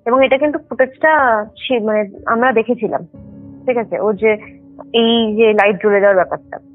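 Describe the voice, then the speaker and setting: High-voiced young woman on a phone line. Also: moderate pace. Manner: explanatory, mixes in English words, often adds check-in tags. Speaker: caller telling a personal ghost experience. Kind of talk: casual conversational storytelling. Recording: tinny phone call with music underneath. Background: background music.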